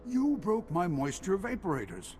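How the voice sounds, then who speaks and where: An actor playing an anxious, proud old hermit farmer and former guard in a video game, hesitant and halting. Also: male voice, sits high, wildly swooping pitch, darkish tone. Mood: alarmed. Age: older. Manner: hesitant, halting, repeating himself. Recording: long hall reverb, music behind.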